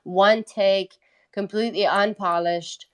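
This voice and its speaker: A woman's voice: medium pitch, fairly even intonation, takes it slowly. A woman from Italy, a musician, talking casually as an interview guest.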